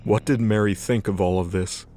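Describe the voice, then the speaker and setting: A man's voice: on the low side, steady tone, normal speed, dark tone, soft background music, close to an everyday voice. A man giving scripted third-person narration as the storyteller of an audio drama.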